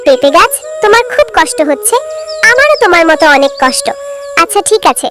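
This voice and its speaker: A woman's voice: very high voice, big pitch swings, fairly quick, over music. A woman voicing a wistful, kind little girl in a children's cartoon, with a pleading, childlike manner. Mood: surprised, sympathetic.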